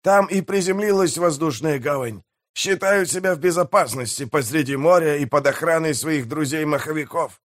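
Guy doing a greedy pirate boss from an audiobook, raised pitch, blustery, bossy and boastful. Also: normal speed, few pauses, dry recording, studio quality, lightly acted. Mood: gleeful, scheming. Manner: blustering, theatrical, commanding. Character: boastful, greedy pirate mayor rallying his gang.

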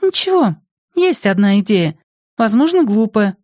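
A man's voice: high voice, sing-song, a little slow, a little mellow, dry recording, clean studio sound, pitched above the performer's own voice. A man voicing a young girl in an audiobook, inventive and tough but tentative, reasoning things out thoughtfully. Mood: hesitant.

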